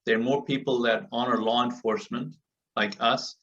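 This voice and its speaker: A man from Africa delivers an earnest, rallying speech to listeners on a group call. His voice is bright and forceful, and he stacks repeated phrases for emphasis. The call audio sounds dull and muffled, with him a little distant from the microphone. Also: mid-range voice, fairly even intonation, moderate pace. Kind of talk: motivational speech.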